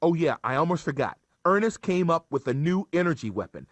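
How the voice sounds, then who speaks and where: Earnest higher-voiced male mission ally. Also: very animated, brisk. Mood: earnest concern. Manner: earnest, explanatory, supportive. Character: earnest male ally briefing a cyborg cop. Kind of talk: video game mission briefing. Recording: keyboard clicks, faint background noise.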